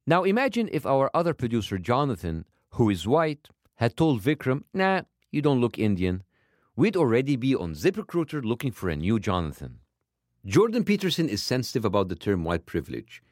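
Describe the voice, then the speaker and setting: Lively accented male podcast host. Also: fairly quick, no room sound, studio quality. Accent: foreign-accented English. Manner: animated, wry, pitch jumping up for emphasis. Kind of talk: scripted podcast narration.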